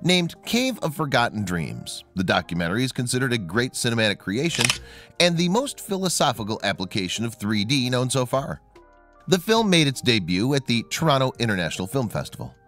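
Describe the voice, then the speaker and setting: A man reading a scripted documentary narration in a measured, expressive way. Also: mid-range voice. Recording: voice-over with faint music behind.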